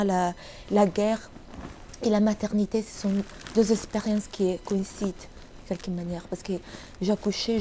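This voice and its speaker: Mellow-voiced middle-aged woman. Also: medium pitch, slight background noise. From Somalia. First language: Italian. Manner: halting, searching for words in a second language. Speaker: novelist being interviewed. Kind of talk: reflective interview answers.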